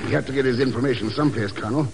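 An actor playing a shrewd, matter-of-fact secret agent in a 1950s radio drama, speaking tersely and probingly. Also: male voice, medium pitch, very fast, natural voice. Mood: probing, cool. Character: cool, clipped, shrewd male secret agent. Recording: noisy old 1950s radio recording.

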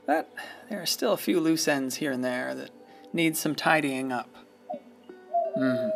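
Radio play narrator: folksy, wistful small-town storyteller, higher-pitched voice with fry, music underneath. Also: male voice, a bit bright. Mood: reflective.

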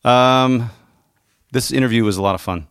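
A man hosting a podcast in casual conversation, talking informally and haltingly with frequent short asides. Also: mid-range voice. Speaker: podcast host.

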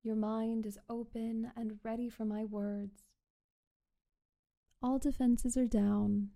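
A woman performing an ASMR hypnosis roleplay as a calm, controlling doctor, speaking in a soothing, repetitive, coaxing way. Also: a little high, takes it slowly, creaky. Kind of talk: ASMR hypnosis roleplay, guided relaxation script.

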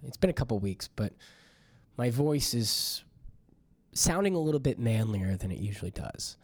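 Hoarse young man hosting a podcast. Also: medium pitch, sing-song, normal speed, dry recording. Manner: loose, rambling, exasperated. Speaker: podcast host. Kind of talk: casual monologue.

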